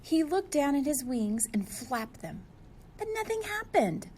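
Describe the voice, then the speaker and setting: A woman's voice: sits high, sing-song, a little slow, mellow, a little hiss or hum. A woman reading a storybook aloud to young children, expressively and speaking to them directly.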